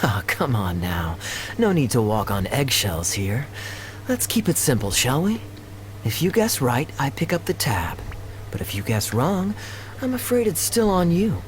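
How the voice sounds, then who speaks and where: An actor playing a carefree, condescending rich gambler in a video game, with a nonchalant, teasing manner. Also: male voice, medium pitch, sing-song, measured pace, slight background noise. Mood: teasing, confident. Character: smug, carefree rich male gambler, breezily taunting.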